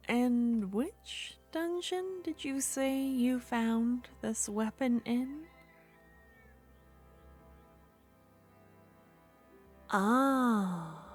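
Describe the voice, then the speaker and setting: Casting: woman playing a seductive witch, very slow, hushed and coaxing, faint music under. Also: mid-range voice, exaggerated voice. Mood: reassuring. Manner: slow, breathy whispering, coaxing. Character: flirtatious curious female witch, secretive. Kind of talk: ASMR roleplay.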